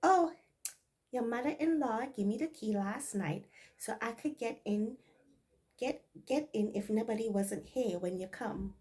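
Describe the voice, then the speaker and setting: A woman voicing a nervous housemaid with a Barbadian accent, pitched higher and louder than her own voice. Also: a little slow, natural voice. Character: nervous female housemaid, jittery and eager.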